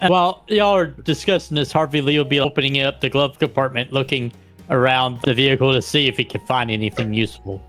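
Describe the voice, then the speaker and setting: A man's voice: medium pitch, a little fast, a bit bright, faint music behind. A man playing a tabletop role-playing game, casually describing what his character does.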